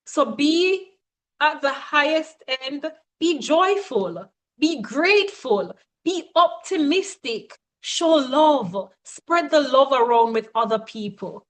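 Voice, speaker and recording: A woman's voice: high-pitched, animated, slow, a bit bright. A woman coach giving a motivational teaching talk, speaking emphatically and often checking in with her listeners.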